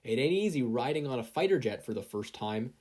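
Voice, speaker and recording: A confident female pro-hero mentor, played by a story reader. The delivery is brisk and animated, swinging widely in pitch with a husky edge. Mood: breezy, reassuring.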